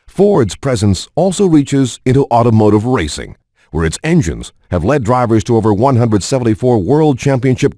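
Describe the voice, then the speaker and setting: A man's voice: mid-range voice, warm tone, no room sound, studio quality. A man reading formal, scripted promotional narration as a voice-over for a game. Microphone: studio voice-over.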